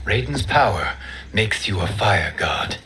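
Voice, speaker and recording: An actor playing a calm, dignified god in a video game, speaking formally and earnestly. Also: male voice, on the low side, measured pace, a little hiss or hum, heavily acted. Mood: calm assurance. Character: calm, dignified male god, steadfast ally. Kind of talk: dramatic video game cutscene acting.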